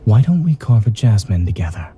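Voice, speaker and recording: A reserved doctor from a romance game, low, very mellow and measured, the voice guiding your hand through a delicate task. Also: male voice, very animated, husky, exaggerated voice. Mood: gentle, instructive. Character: reserved, composed male doctor, gently teasing companion. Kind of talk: video game voice acting. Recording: music under the voice.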